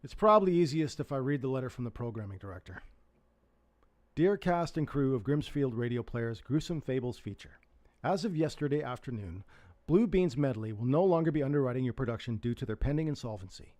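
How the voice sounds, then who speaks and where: An actor playing a dry, awkward, downbeat radio staffer, reading and talking in a reluctant, subdued way in a drama. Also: male voice, mid-range voice, animated, brisk, a little mellow, natural voice. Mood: subdued, formal.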